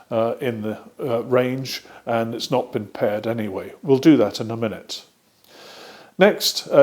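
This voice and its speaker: A British man presenting a product demonstration step by step, explaining methodically and often pausing mid-sentence. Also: mid-range voice, darkish tone.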